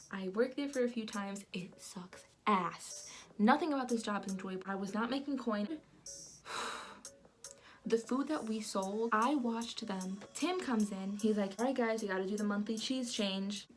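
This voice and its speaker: A young woman from the United States, telling a personal story in an animated vlog style with lots of fillers. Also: fairly high pitch, unhurried, faint music behind. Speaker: vlogger.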